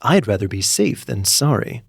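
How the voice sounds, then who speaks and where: A man's voice: mid-range voice, very animated, normal speed, gravelly, slightly rough, dry recording, clean studio sound, exaggerated voice. Man putting on a chatty, fussy lady. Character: chatty, smiling, well-dressed woman making small talk.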